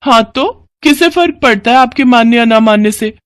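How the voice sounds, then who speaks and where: A woman's voice: on the high side, moderate pace, dry recording, studio quality, pitched above the performer's own voice, lightly acted. A woman voicing an arrogant young modern bride in a cartoon story, cheeky, defiant and bossy. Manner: haughty, cheeky.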